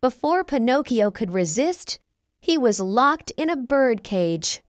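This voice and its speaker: A woman reads a children's storybook aloud in a slow, unhurried way, her pitch rising and falling with feeling. Her voice is fairly high and the recording is dry and close. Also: no echo. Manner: slow clear expressive reading. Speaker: children's book reader. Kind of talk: storybook read-aloud narration.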